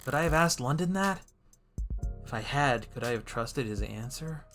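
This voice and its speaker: A man's voice: mid-range voice, expressive, normal speed, fairly bright tone, faint music behind. A man voicing a wry, brooding defense attorney in a world-weary noir game monologue. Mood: pensive, calculating. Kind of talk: noir visual novel narration.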